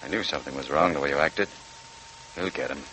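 A man's voice: extremely low pitch, quick pace, bright, forceful tone. An American man playing a commanding sheriff in a 1940s radio crime drama, giving terse, clipped orders. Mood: firm, wary. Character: commanding small-town sheriff hunting an armed fugitive. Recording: noisy, clicking 1940s radio recording.

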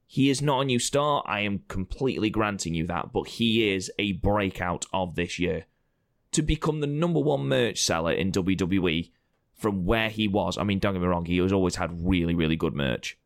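Croaky guy on a podcast, real low voice, blunt, swears a lot, dry close sound. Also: animated, normal speed, dry recording. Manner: blunt and opinionated, swears freely. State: hoarse, croaky throat. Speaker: podcast co-host presenting award results. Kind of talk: casual banter.

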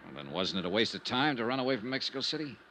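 An actor playing a shrewd, hard-boiled insurance investigator in a 1950s radio detective drama, clipped, dramatic and wry. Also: male voice, mid-range voice, expressive, racing, pushed and bright, a little hiss or hum. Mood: low, wry.

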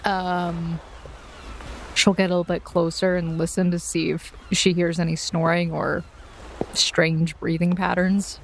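A woman's voice: lowish voice, flat delivery, slight background noise, rough recording. A woman playing a tabletop roleplaying game, slipping in and out of character in a playful, chatty way. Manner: playful, chatty, quick to laugh at herself. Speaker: player.

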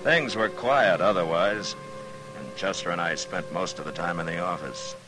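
An actor playing a weary, steady American frontier marshal in a 1950s radio western, dry, measured and understated. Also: male voice, low voice, music in the background, lightly acted, low-quality recording. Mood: somber, reflective. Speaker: lead character and narrator.

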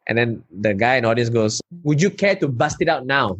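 Husky Malaysian man telling stories. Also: mid-range voice, fairly quick, dry recording. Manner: animated anecdotes, local particle "lah". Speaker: stand-up comedian, guest. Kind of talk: casual interview storytelling.

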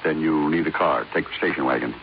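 Very deep, commanding older tycoon. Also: male voice, fast. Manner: blunt, imperious, hesitates with "uh". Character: gruff, commanding older male business magnate, guarded. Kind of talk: 1950s radio drama acting. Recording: dull, lightly noisy 1950s radio recording.

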